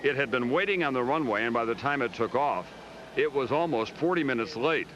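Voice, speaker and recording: A man's voice: mid-range voice, expressive, a little fast, a bit bright, dull audio, slight background noise. An American man narrating a scripted news documentary as correspondent, with a grave, dramatic storytelling delivery.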